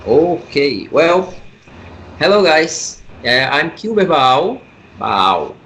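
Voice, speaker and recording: A man from Brazil with a Brazilian Portuguese accent, a comic artist guest speaking English as a second language, halting and searching for words. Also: on the high side, very animated, a bit bright, slight background noise. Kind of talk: casual interview over a call.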